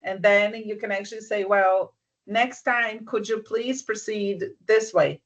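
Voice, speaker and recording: A business mentor giving soft-spoken advice in an online session, often restarting sentences and checking that the listener follows. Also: medium pitch, fairly even intonation, a little slow, rough recording.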